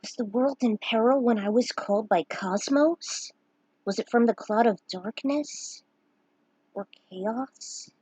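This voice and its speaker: A woman's voice: a little high, takes it slowly, a little mellow, slightly rough, no room sound, low-quality recording. A woman voicing an earnest, eager young male adventurer in a fan story reading. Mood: wondering.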